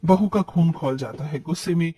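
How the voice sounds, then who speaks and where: A male storyteller narrates a scripted animated family tale. His voice is fairly high and husky, heard from slightly away from the microphone. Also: normal speed, far from the mic.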